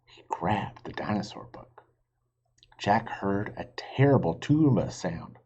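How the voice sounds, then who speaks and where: A man's voice: sits low, lively intonation, slow pace, mellow, breathy edge. A man reading a children's storybook aloud expressively, like a teacher, on a slightly muffled recording. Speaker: reader, teacher.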